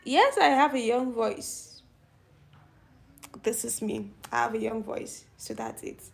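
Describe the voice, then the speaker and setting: A woman's voice: a little high, takes it slowly, vocal fry, slight background noise. A middle-aged woman with a young-sounding voice hosting a casual live stream, chatting and answering viewer comments. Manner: youthful-sounding voice. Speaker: channel host.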